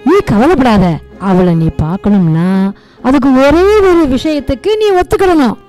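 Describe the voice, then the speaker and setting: Firm, controlling middle-aged mother, high pitch, radio drama over light music. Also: big pitch swings, moderate pace, warm tone. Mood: calm, bargaining.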